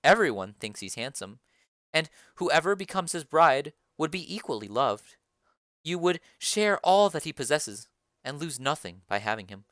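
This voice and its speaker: A woman voicing a stern, formal noble mother in a dramatic reading of a play, speaking in a lowered, measured voice. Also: sing-song, dry recording, studio quality.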